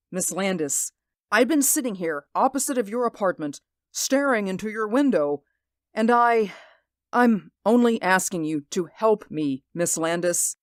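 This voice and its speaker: A woman's voice: a little high, no room sound, studio quality. A woman voicing Mister Ames, a nervous, sleepless man who stammers and pleads, in a radio drama. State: sleep-deprived, anxious. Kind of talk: hobby radio drama acting.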